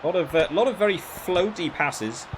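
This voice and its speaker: A man giving casual, rambling commentary while playing a video game, often halting and repeating his words. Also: high-pitched, expressive, background noise. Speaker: video game reviewer and player.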